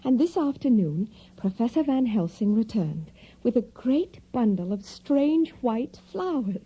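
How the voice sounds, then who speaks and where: An actress playing a sweet, trusting young gentlewoman in an audio drama, gentle, breathy and wistful. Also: on the high side, sing-song, measured pace, exaggerated voice. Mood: dreamy, wistful. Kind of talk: audio drama acting, diary narration. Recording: dull, muffled audio drama recording.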